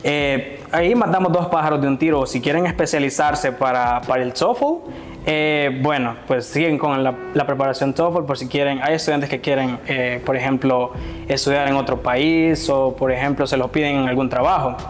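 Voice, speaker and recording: A man's voice: medium pitch, moderate pace. A young man in his twenties from El Salvador, eagerly explaining his language school in a casual interview, with many fillers. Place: small classroom, indoors. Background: soft background music.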